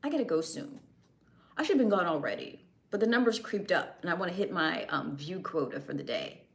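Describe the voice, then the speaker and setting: A woman's voice: lowish voice, animated, fairly quick. A young American woman chatting casually on a live stream, answering viewers' comments and laughing often. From United States.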